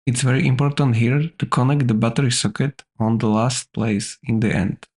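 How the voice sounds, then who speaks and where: A man's voice: mid-range voice, fairly even intonation, moderate pace, a little mellow. A man giving instructional, step-by-step narration as the presenter of a repair tutorial.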